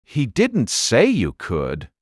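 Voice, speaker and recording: A man playing a genial, reassuring colleague and old friend, familiar and gently teasing, in an audiobook mystery drama. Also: medium pitch, huge pitch swings, takes it slowly, breathy edge, dry recording, clean studio sound, full character voice.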